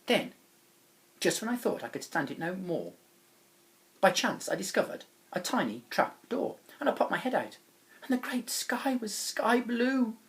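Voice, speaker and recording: A woman reading a rhyming children's story aloud in a dramatic, emphatic manner. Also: on the high side. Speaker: storybook reader. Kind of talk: expressive rhymed storybook narration for children.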